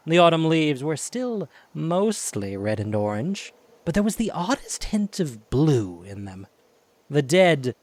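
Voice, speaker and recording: A man narrating a scripted audio drama as its storyteller. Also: medium pitch.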